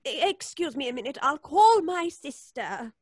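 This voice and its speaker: An actress playing a refined, prim, flustered spinster schoolteacher, genteel and indignant, in old-time radio suspense style. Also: female voice, sits high, sing-song, normal speed, no room sound, clean studio sound. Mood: shocked, fluttery.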